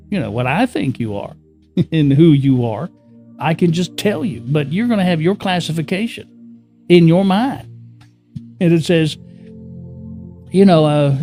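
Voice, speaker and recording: A man speaks in a mellow, slightly raised voice, talking loosely and conversationally about spiritual ideas. He repeats words, chuckles often and keeps asking the listener rhetorical questions. Soft music plays quietly behind him. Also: a little high. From born in the US Northeast, raised in California. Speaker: spiritual teacher. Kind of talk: unscripted spiritual teaching to camera.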